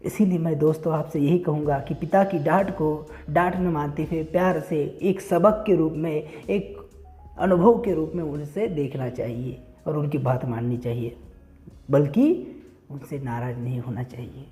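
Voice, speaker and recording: A man tells a moral tale in a soft, mellow voice with faint music underneath. He speaks as a host to his listeners, explaining in simple phrases and often repeating them. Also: fairly high pitch, normal speed. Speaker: storyteller and channel host. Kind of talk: moral storytelling with direct address to listeners.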